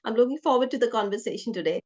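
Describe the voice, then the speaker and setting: A woman's voice: fairly high pitch, animated, very fast, a little mellow, lo-fi sound. A woman hosting an interview podcast, introducing and questioning in a conversational way. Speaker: podcast host.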